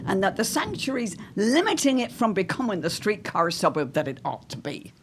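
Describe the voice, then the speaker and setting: A woman's voice: medium pitch, sing-song, normal speed, background noise, lightly acted. A woman playing an anxious but proudly stubborn older widow, speaking in dramatic, old-fashioned phrases in a period audio drama. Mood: indignant.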